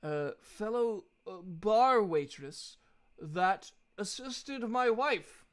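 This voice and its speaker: Man playing a soft, earnest devoted bard husband. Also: high voice, animated, exaggerated voice. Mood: earnest, grateful. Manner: halting, sincere.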